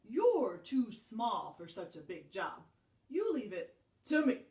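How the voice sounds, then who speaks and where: A woman voicing a big, bossy cow in a children's story, loud and drawn-out. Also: on the high side, wildly swooping pitch, roomy sound, heavily acted. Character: big bossy male cow, mooing politely.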